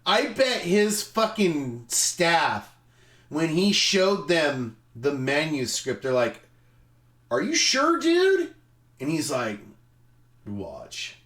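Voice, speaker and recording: A man streaming, speaking in an emphatic, theatrical way with dramatic pauses. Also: fairly high pitch, huge pitch swings, fairly bright tone. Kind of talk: dramatic reading of a film review.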